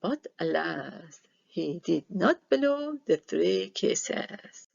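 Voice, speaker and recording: A woman reading a children's storybook aloud in expressive narration. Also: fairly low pitch, extremely slow, vocal fry, husky, dry recording, lo-fi sound. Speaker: storybook reader.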